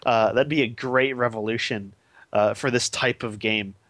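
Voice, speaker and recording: A man's voice: medium pitch, fairly even intonation, moderate pace, a bit bright, no echo. Eager game developer man, dry sound. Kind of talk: casual interview.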